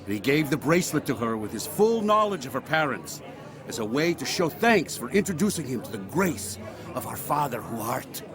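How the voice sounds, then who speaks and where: An actor playing a gleeful, mocking young man gossiping in an audio drama, with an animated, jeering delivery. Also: medium pitch, moderate pace, music in the background, lightly acted. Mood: mock pious. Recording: crowd chatter behind the voice.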